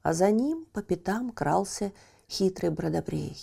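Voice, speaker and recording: Female storyteller for children's audio tales: slow, mellow, slightly high, expressive careful delivery. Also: vocal fry, breathy edge. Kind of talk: scripted children's fairy tale narration.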